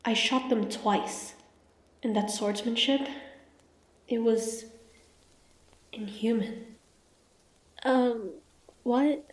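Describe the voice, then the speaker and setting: A woman's voice: fairly high pitch, drawn-out words, long pauses. A woman playing a proud, defiant monster hunter in a dramatic fantasy audio roleplay. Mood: wary, puzzled. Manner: haughty, taunting, dramatic. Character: proud, defiant young female monster hunter, grudgingly curious.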